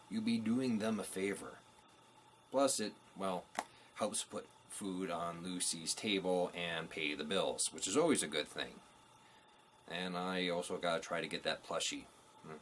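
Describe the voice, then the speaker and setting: Low-voiced man hosting a review show. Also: fairly bright tone, a little hiss or hum. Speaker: host of a book review show. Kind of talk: scripted review narration to viewers.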